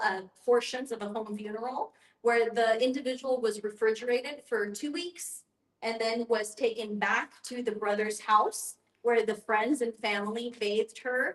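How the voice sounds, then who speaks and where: A woman explaining things step by step as an educator leading a group discussion, in an instructive way. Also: a little high. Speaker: end-of-life educator and guest facilitator. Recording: roomy, echoing sound.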